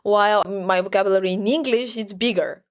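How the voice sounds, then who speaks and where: A woman's voice: mid-range voice, brisk. Woman explaining research. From Bulgaria. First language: Bulgarian. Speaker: academic researcher, podcast guest. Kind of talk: conversational expert explanation.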